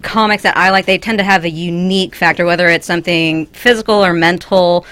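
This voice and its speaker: A young woman from West Texas, a stand-up comic being interviewed, talking frankly and crudely with quick jokes. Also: fairly low pitch, fairly even intonation, a bit bright. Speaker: stand-up comic and booker, guest. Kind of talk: casual podcast interview. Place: small studio.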